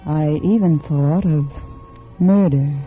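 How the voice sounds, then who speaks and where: A woman's voice: deep voice, slow. An actress playing a restless married woman confessing a secret affair in polished, intimate 1940s radio drama style. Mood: confessional, brooding. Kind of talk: 1940s radio drama acting, first-person confessional narration. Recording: dull old radio recording with music.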